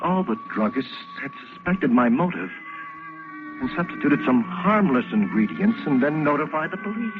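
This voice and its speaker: An actor playing a desperate, obsessive poisoner in a melodramatic, intense old radio drama style. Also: male voice, medium pitch, lightly acted. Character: desperate, obsessive man secretly poisoning a woman, confessing. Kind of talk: 1940s radio drama acting, first-person narration. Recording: tinny, band-limited vintage radio, music behind.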